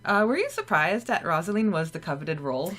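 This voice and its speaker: Chatty giggly podcast woman, lowish voice. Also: big pitch swings, brisk, a bit bright. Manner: giggly, chatty, lots of fillers. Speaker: podcast co-host. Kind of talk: casual chat about a fanfiction chapter.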